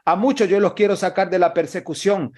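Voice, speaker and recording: A man's voice: sits high, a little fast, a little mellow. A man preaching a prophecy, declaiming in short phrases and repeating a refrain after nearly every line.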